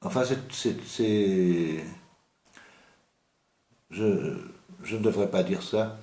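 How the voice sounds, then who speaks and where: A man giving an unscripted literary talk about an author as the host, slow and halting, with many hesitations and restarts. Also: sits low, mellow, echoey room.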